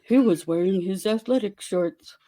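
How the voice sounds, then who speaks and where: A woman giving a theatrical dramatic reading of a story, with exaggerated delivery and dramatic pauses. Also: mid-range voice, warm tone. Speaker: dramatic reader.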